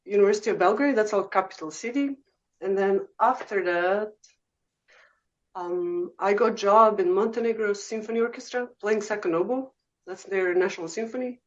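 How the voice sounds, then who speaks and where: A woman from Serbia in her thirties with a Serbian accent, a guest telling her story in a casual interview, chatty and full of ums. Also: medium pitch. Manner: chatty, frequent "um" and "uh", laughs easily. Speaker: guest oboe professor. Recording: slightly muffled lo-fi audio.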